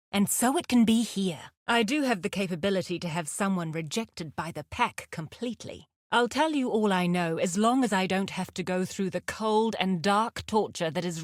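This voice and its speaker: A woman playing a fierce, guarded huntress in a video game, speaking in a deliberate, terse and solemn way. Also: medium pitch, no room sound, clean studio sound. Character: fierce, guarded huntress outlaw, wry nature lover. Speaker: video game character voice actor. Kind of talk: scripted game character lines.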